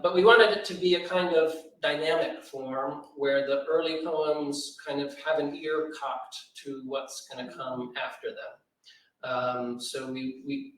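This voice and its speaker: A man talking thoughtfully and haltingly to an audience about literature, rephrasing as he goes, as an editor on a panel. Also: mid-range voice, fairly bright tone. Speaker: anthology editor on a discussion panel. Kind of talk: unscripted literary talk to an audience. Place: large indoor library room at a live event. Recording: echoey room, distant mic.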